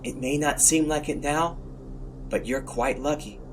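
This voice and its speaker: A man as a gentle, higher-voiced female detective. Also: over music, natural voice. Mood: consoling. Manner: slow, careful, consoling, probing. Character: gentle, measured female police detective, adult.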